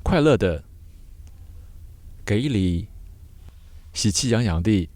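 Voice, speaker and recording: A man teaching vocabulary word by word in measured, short separated phrases, as a scripted lesson. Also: fairly low pitch, lively intonation, a little mellow, no room sound.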